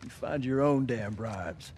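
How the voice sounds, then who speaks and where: An actor playing a weathered middle-aged survivor in a video game drama, with a folksy, easygoing manner. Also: male voice, mid-range voice, slow, darkish tone, a little hiss or hum, lightly acted. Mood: wry, easygoing.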